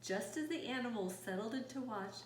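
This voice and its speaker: A woman reading a children's picture book aloud expressively, pausing to ask young listeners questions. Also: on the high side, animated. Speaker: storytime host reading aloud. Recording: roomy echo, distant mic, faint noise.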